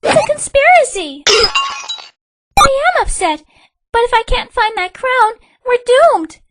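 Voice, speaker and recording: A woman's voice: very high voice, big pitch swings, measured pace, a bit bright, exaggerated voice. A woman playing a young, melodramatic, bossy princess in a comic book fan dub, emphatic and indignant. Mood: upset.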